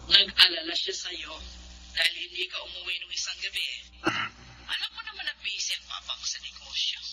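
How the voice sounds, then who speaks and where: Exasperated Filipino mother, lively swooping voice. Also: lowish voice, a little fast, exaggerated voice. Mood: exasperated, pleading. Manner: scolding, pleading, mixes in English. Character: busy, exasperated middle-aged mother, defensive. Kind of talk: Tagalog radio drama acting. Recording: noisy, humming telephone-style radio drama.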